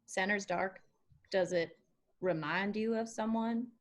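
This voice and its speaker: A woman reads the part of a young country drifter with an old soul. She is plainspoken and folksy, pressing gently in a medium-pitched voice, as in a drama read-through. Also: slow, lightly acted. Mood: thoughtful. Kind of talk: screenplay table read.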